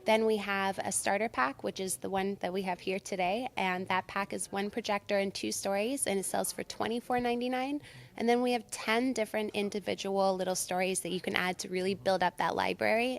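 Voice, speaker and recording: A woman's voice: mid-range voice, fairly even intonation, fairly quick, gravelly. Upbeat woman in an interview. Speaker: product creator being interviewed. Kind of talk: conversational interview answers.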